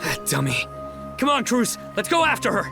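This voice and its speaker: A woman voicing a brash, caring young companion in a video game, blunt, eager and excitable. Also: mid-range voice, normal speed, music in the background. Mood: urgent. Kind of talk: animated video game voice acting.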